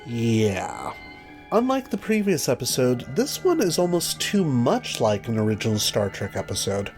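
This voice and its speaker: A man's voice: medium pitch, very animated, moderate pace, a little mellow. A man presenting a scripted video review as host, with wry, dry asides. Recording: music behind the voice. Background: background music.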